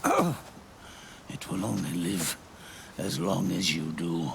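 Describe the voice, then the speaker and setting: Gravelly, dying old soldier. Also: male voice, low-pitched, expressive, a little hiss or hum, exaggerated voice. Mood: grave, failing. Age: older. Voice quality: gravelly, breathy, often fading to a whisper. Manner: halting, solemn, drawn-out phrases. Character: dying old male soldier, weary, regretful, reflective. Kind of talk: cinematic video game acting. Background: music.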